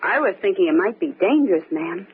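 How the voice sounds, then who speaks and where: An actress playing a deferential, chatty housemaid, eager to please, in a 1940s radio mystery. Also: female voice, mid-range voice, animated, fairly quick. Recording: husky-sounding, phone-like old radio broadcast.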